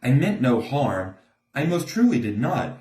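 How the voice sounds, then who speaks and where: Animated, slow-paced man reading a story. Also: mid-range voice. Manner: theatrical, emphatic. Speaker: storybook reader. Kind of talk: expressive rhyming story read-aloud. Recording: echoey room.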